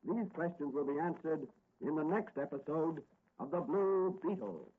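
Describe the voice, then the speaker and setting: A man's voice: fairly high pitch, lively intonation, moderate pace. Old timey radio announcer guy, dramatic and up and down, hissy dull 1940s recording. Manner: theatrical, emphatic. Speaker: radio serial announcer and narrator. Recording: noisy lo-fi vintage radio recording.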